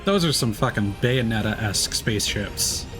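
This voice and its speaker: A man's voice: on the low side, lively intonation, normal speed, music in the background. A man livestreaming a video game with casual, chatty and informal commentary, talking to his viewers.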